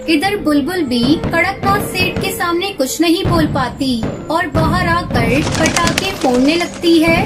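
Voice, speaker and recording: A woman narrating a scripted children's cartoon story. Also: extremely high pitch. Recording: music under the voice.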